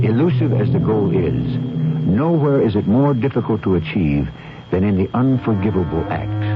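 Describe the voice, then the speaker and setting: A man hosting and narrating a radio mystery with ominous, theatrical, measured phrasing. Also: on the low side. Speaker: host and narrator. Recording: muffled old radio broadcast.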